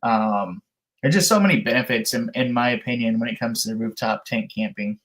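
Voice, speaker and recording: A man's voice: medium pitch, brisk, a bit bright. A man in his early thirties chatting casually as a live-stream guest, with a folksy, down-to-earth, colloquial way of talking. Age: 30s. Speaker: guest, outdoor camping creator. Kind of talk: casual live-stream chat about camping.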